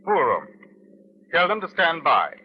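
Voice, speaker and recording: An actor playing a brisk, wry British police inspector in old radio crime drama, with clipped commands and dry understatement. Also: male voice, on the high side, wildly swooping pitch, husky, heavily acted. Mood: brisk, commanding. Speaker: lead actor and narrator. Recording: muffled old radio recording.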